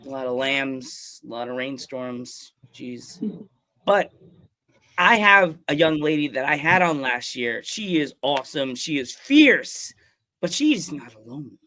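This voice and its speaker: A man's voice: medium pitch, lively intonation, unhurried, pushed and bright. A man hosting a wrestling podcast, enthusiastically welcoming and interviewing guests in a casual chat. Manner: enthusiastic, repeats "awesome". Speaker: podcast host.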